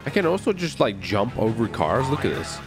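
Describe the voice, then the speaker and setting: Man, gaming host, lively swooping pitch, playful boasting, excited gameplay commentary, music behind. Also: brisk. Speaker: gaming video host and player.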